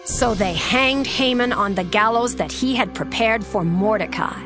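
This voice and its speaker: A woman's voice: a little high, normal speed, music in the background. A woman reading scripted scripture narration in a formal storytelling manner.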